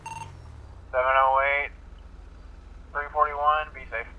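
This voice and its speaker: A man's voice: a little high, little pitch movement, slow, poor audio. A man giving police radio reports over a game voice chat. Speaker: player roleplaying police radio traffic. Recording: sharp game radio with faint noise.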